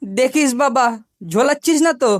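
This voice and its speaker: A woman's voice: high-pitched, animated, normal speed, no room sound, clean studio sound. A woman voicing a sly, boastful, bossy older schoolboy in a cartoon, with a wheedling way of talking. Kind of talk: cartoon voice acting.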